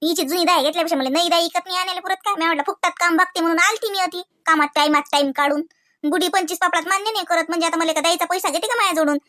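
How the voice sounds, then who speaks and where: Comedy cartoon housewife voiced by a woman, young village freeloader, extremely high bright voice, Varhadi Marathi accent, chattery and coaxing. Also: very fast. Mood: sulky. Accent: Varhadi Marathi, Vidarbha.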